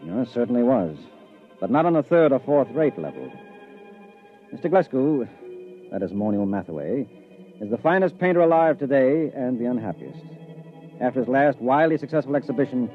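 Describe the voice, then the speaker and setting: A man's voice: medium pitch, big pitch swings, moderate pace, natural voice. An actor playing a wry, conversational man who narrates and schemes in a 1950s radio drama. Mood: wry narration. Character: wry, plausible man narrating his artist friend's scheme. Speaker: narrator and character in a radio play. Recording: muffled vintage radio recording with music.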